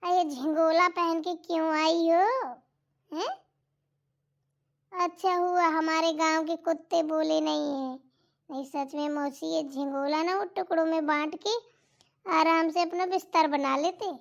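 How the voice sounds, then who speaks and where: A woman voicing a cheeky, sharp-tongued young daughter-in-law in a cartoon comedy, mocking and talking back. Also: very high-pitched, fairly even intonation, unhurried, creaky, dull audio, exaggerated voice. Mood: teasing. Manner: mocking, talks back, teasing. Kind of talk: Hindi cartoon comedy voice acting.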